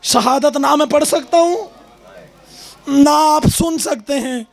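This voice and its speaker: A man giving a mourning recitation at a gathering, half-singing and shouting his lament. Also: extremely high pitch, slow pace, gravelly. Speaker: majlis reciter.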